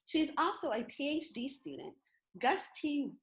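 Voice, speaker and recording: Lively, expressive woman hosting. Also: sits high, moderate pace. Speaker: host of a children's reading event. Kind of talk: scripted introduction and interview.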